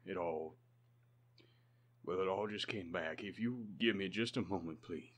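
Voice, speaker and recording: A man plays a bereaved clergyman in a radio play. His very low voice is halting and apologetic, heavy with grief. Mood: grieving. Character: grieving, apologetic reverend, a bereaved father. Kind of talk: old-time radio drama acting.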